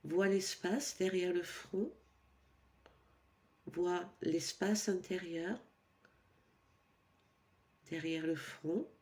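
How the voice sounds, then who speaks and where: Slow, mellow woman guiding meditation. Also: lowish voice. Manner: calm, soothing, instructional delivery with pauses. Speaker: yoga nidra instructor. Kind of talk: guided meditation for sleep.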